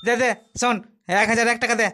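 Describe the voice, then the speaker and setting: Cartoon fake holy man, acted by a man: sly, commanding, bright forceful voice. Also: extremely high pitch, monotone, fast, slight background noise. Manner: commanding, condescending guru talk. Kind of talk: comic cartoon dubbing.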